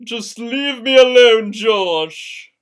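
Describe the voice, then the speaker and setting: A woman's voice: on the high side, very slow, voice pushed up. A woman voicing a jealous, hurt and angry young girlfriend in a dramatic fan fiction reading. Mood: angry, accusing.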